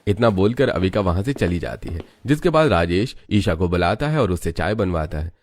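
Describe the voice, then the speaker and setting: A man's voice: low-pitched, lively intonation, normal speed, faint music behind. A man narrating a scripted family story as its storyteller.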